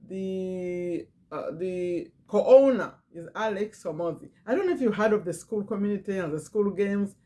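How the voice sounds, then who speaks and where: A woman's voice: medium pitch, darkish tone. A middle-aged woman with a foreign accent, a business owner talking casually to camera, repeating phrases and reading a list aloud. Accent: non-native English. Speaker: business owner and channel host. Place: Virginia, USA.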